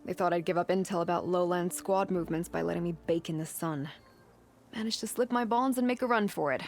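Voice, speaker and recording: Low, gravelly battered warrior woman acted by a woman. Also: brisk, natural voice. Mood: weary, bitter. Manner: blunt, weary. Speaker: game character actor. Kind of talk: dramatic game cutscene acting. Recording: music behind the voice.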